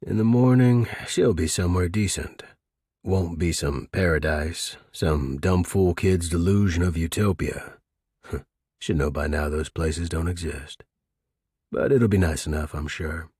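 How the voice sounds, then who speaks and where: Man voicing a gravel-low, world-weary tough-guy crook. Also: measured pace, a little mellow, dry recording, studio quality, heavily acted. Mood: resigned resolve, then wry, hurt. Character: world-weary middle-aged tough-guy crook, tender and regretful, narrating. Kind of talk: first-person noir audiobook narration.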